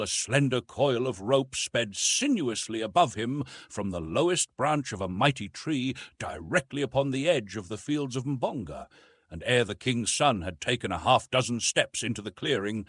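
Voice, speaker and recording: A man reading a classic adventure novel as an audiobook narrator, in measured, dramatic storytelling style. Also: medium pitch, a bit bright, breathy edge, no room sound.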